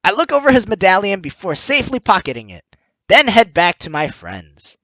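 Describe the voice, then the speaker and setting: Bright, high-pitched, animated male gamer. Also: fairly quick, no room sound. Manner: expressive, acts out lines as he reads. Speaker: let's play host. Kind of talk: gaming commentary, reading game text aloud.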